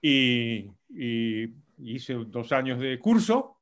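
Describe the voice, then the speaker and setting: An older man from Argentina, whose accent mixes Madrid Spanish with Argentine, talking as an interview guest in fluent, measured storytelling. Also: mid-range voice, big pitch swings, a bit bright. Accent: Madrid Spanish mixed with Argentine. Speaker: guest economist.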